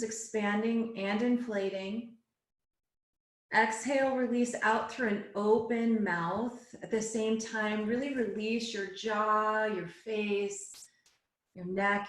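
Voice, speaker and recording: A woman yoga teacher guiding a relaxation and meditation class in a soothing, unhurried way, phrase by phrase. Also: a little high. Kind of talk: guided relaxation and meditation instruction. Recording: echoey, roomy sound.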